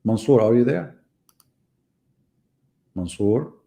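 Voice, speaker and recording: A man's voice: medium pitch, animated, takes it slowly, gravelly, warm tone. A middle-aged man hosting a livestream, taunting his audience with sarcastic rhetorical questions. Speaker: livestream host. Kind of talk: mocking livestream monologue.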